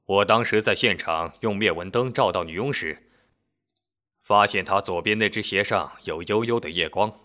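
A man's voice: fairly low pitch, moderate pace, pushed and bright, pitched below the performer's own voice. A man voicing a smug, clever storyteller who patiently lays out his deduction in an audio story. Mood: smug, explanatory. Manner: self-satisfied, patiently explanatory.

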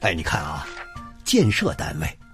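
A man's voice: low voice, huge pitch swings, moderate pace, creaky, music in the background, heavily acted. An actor playing a chatty retired courthouse doorman in a Chinese radio drama, an older man with a Beijing accent and a folksy, theatrical storytelling manner. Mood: fussy, urgent. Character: chatty retired courthouse doorman, eager neighborhood law volunteer. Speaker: storytelling host and lead character of a radio drama.

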